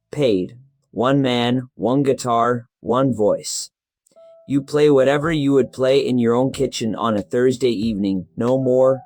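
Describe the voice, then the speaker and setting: A man playing a formal music venue owner in an audio drama, precise and courteous, in short deliberate phrases. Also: mid-range voice, darkish tone, no room sound, natural voice.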